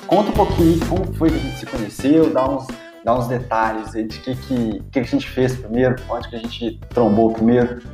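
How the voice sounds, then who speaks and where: Upbeat host in an echoey room. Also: male voice, mid-range voice, moderate pace. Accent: Brazilian Portuguese, Minas Gerais. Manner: lively, slangy, excited. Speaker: podcast host. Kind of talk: casual podcast chat. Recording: echoey room with faint guitar music.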